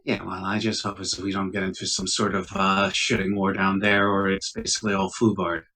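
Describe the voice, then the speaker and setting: Bright-voiced man playing an uneasy scientist, off-mic. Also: low-pitched, level tone, brisk, slight background noise. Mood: sardonic. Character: uneasy, wry male civilian scientist unused to combat. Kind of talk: tabletop roleplaying, improvised dialogue. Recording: distant mic, poor laptop recording.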